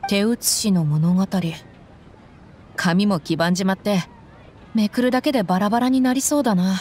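A woman's voice: mid-range voice, moderate pace, a little mellow, natural voice. A woman playing a tough, blunt young female mercenary who talks brusquely and casually in a Japanese anime game. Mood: exasperated.

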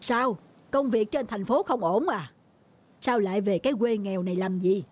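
A woman's voice: mid-range voice, moderate pace, a little mellow, breathy edge, natural voice. A woman voicing a weary, discouraging middle-aged fisherman in a cartoon folk tale, blunt and grumbling. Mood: doubtful, grumbling.

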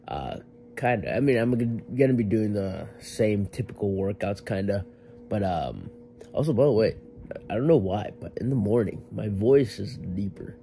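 A man's voice: lowish voice, expressive, normal speed, creaky, warm tone, faint music behind. Rambling laid-back young gym vlogger man. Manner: rambling, filler-heavy, self-correcting. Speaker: fitness vlogger. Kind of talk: casual vlog talk to camera.

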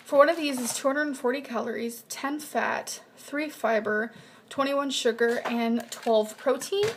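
High-voiced young woman chatting in her kitchen. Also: unhurried, slight background noise. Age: young adult. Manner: chatty, reads out nutrition numbers in a flat list. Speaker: vlogger. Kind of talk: casual grocery haul vlog. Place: home kitchen, indoors. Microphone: built-in webcam mic at desk distance.

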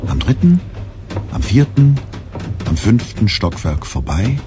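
An actor narrating a literary satire in a measured way for a radio play. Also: male voice, lowish voice, huge pitch swings, over music, heavily acted.

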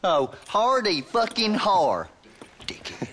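Jumpy backwoods deputy, Southern US accent, swooping pitch, stammering, belligerent, dim, western game. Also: male voice, big pitch swings, slow, fairly bright tone, slight background noise, exaggerated voice. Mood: indignant. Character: dim, jumpy, hot-tempered, loyal young deputy. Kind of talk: comic video game acting.

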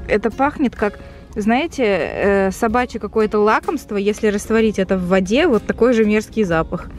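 A young woman in her twenties, chatty and lively, talking to viewers and cooing at her cat in a car. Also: medium pitch, moderate pace, faint music behind. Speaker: pet vlogger. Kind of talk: casual vlog talk to viewers. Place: inside a car at night.

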